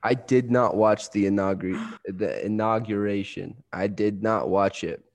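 A man's voice: lowish voice, fairly even intonation, unhurried. An easygoing young man of twenty co-hosting a chat podcast. He hesitates, searches for words and lets sentences trail off. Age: 20s. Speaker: podcast co-host. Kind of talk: casual chat.

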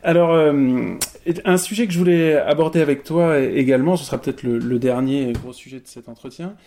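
A man hosting an interview, speaking in a measured, articulate way and thinking aloud. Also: fairly high pitch, dark tone, a little hiss or hum. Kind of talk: interview host.

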